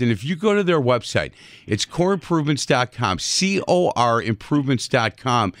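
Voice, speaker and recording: A man's voice: medium pitch, fairly bright tone, no echo. Chatty radio host man, dry studio sound. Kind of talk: casual radio talk.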